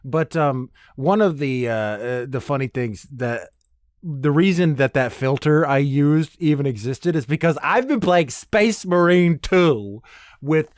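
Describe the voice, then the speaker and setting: A man's voice: a little high, animated, measured pace, no room sound, clean studio sound. A man hosting a podcast alone, chatting casually and rambling, sweary and self-deprecating with nerdy enthusiasm. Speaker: podcast host. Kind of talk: casual, rambling solo chat.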